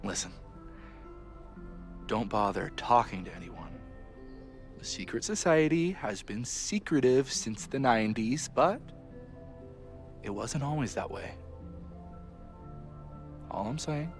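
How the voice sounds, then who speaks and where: A wry, harried university aide in a naturalistic video game drama. His pitch moves in animated swings, with theatrical sarcasm and exasperation. Faint music plays behind him. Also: slow, long pauses. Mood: weary, guarded.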